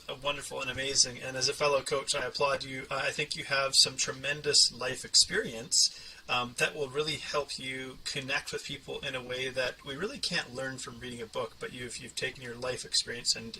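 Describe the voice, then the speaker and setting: A man's voice: mid-range voice, pushed and bright, far from the mic, a little hiss or hum, poor audio. A man hosting an interview podcast and talking conversationally with a guest. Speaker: podcast host and coach.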